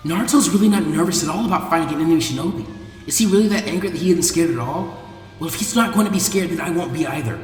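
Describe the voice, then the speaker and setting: A young ninja girl read by a man, earnest and plucky though anxious. She speaks in a slightly high register for a male voice, in a storybook adventure with faint music. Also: racing, reverberant, in a large hall, close to an everyday voice. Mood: uneasy resolve.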